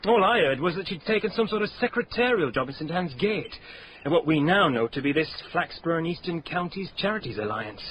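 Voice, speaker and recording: Cheeky young detective sergeant, breezy slangy talk, slightly high voice, faint hiss. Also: male voice, animated, brisk, a bit bright, low-quality recording. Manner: breezy, colloquial, blunt. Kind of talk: radio drama acting.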